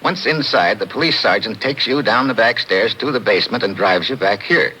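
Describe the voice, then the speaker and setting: An actor playing a tough, slangy American investigator who narrates in clipped 1950s radio adventure style. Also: male voice, fairly low pitch, lively intonation, fairly quick, sharp tone. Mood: brisk, determined. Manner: clipped, hard-boiled. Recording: noisy old 1950s radio recording.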